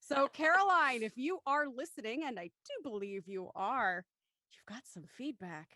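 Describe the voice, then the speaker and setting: A woman's voice: high-pitched, animated, normal speed, bright, forceful tone. A woman hosting a casual panel chat, introducing and steering the talk. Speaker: host.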